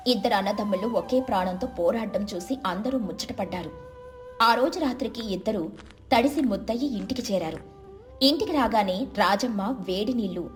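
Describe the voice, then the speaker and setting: A woman narrating a scripted moral story. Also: medium pitch.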